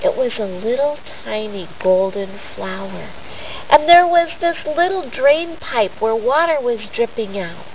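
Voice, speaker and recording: Woman, children's storyteller, high voice, unhurried, gentle teaching delivery, dull lo-fi sound. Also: lively intonation. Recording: roomy echo, dull sound, faint noise.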